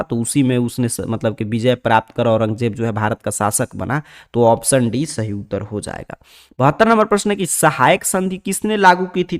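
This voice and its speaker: A man with a Bihari accent teaching exam prep, reading out questions and explaining each answer in a formulaic, instructional way. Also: mid-range voice.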